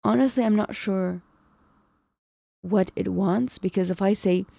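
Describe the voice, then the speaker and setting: A woman's voice: mid-range voice, a little slow, gravelly, mellow, dry recording. A woman live-streaming, thinking aloud over math problems, second-guessing herself and chatting with viewers. She feels her voice is going. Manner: talks to chat, muses and second-guesses herself.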